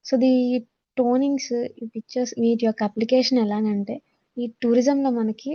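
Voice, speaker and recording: A woman's voice: on the high side, steady tone, normal speed, warm tone. A woman with a Telugu accent giving a lesson as an instructor, explaining points from slides in a dull, lo-fi recording. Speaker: instructor teaching communication skills. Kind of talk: slide-based lesson, reading points aloud then explaining.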